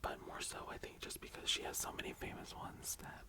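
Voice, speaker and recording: A young adult ASMR host speaking and reading poetry in a breathy, gentle whisper, lingering on the words. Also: brisk.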